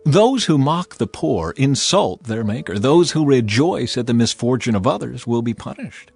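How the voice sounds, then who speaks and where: A man delivers a dramatized scripture reading with lively, varied intonation. His delivery is measured and declarative, set over faint background music, with a mid-pitched voice. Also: creaky, husky. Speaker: audio Bible narrator.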